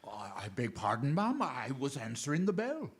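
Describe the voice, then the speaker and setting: An actor playing a nervous, deferential butler who hesitates and stammers, in a radio drama. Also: male voice, mid-range voice, wildly swooping pitch, moderate pace, breathy edge. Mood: flustered.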